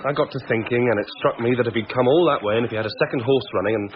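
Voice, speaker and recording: A man's voice: medium pitch, rapid-fire, close to an everyday voice. An actor playing a glum, sheepish junior policeman in a British radio drama. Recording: muffled radio recording, faint noise.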